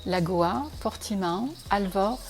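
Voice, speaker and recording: A woman whose native language is Portuguese gives a measured, articulate scripted presentation as a real estate advisor. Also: mid-range voice. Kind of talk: scripted promotional presentation to camera. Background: faint music.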